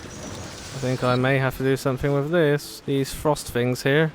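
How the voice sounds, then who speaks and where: Female gaming host, low voice, casual and sarcastic, jokey asides, light game music underneath. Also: fairly even intonation, measured pace. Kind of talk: casual gameplay commentary.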